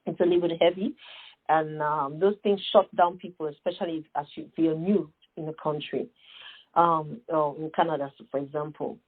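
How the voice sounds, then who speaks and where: A woman's voice: deep voice, steady tone, fairly quick, husky, phone-quality sound. A middle-aged woman from Sierra Leone with a Sierra Leonean accent, answering interview questions earnestly and emphatically, with many fillers and rhetorical questions. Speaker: guest, nonprofit founder.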